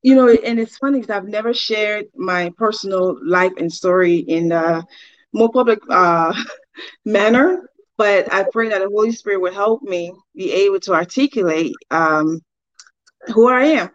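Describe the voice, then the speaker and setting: A woman's voice: mid-range voice, unhurried, no room sound. A woman in her forties from Liberia, giving earnest, devout personal testimony as an interview guest on a slightly dull recording.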